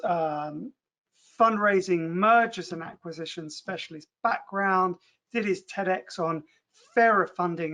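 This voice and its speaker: Male host, high gravelly voice, energetic, fires off lists. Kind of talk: upbeat show introduction.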